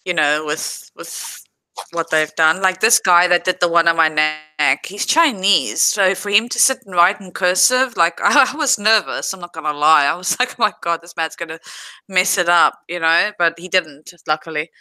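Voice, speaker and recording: A woman's voice: fairly low pitch, normal speed, sharp tone, clear, resonant tone, no room sound. A woman with a South African accent chatting casually on a livestream, laughing easily and answering viewers directly. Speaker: livestream host. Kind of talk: casual livestream chat reading viewer comments.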